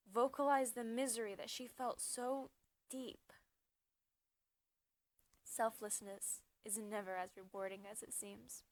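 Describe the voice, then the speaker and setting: A woman reciting slam poetry as a spoken-word poet, with a dramatic, emphatic delivery in short measured phrases. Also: a little high.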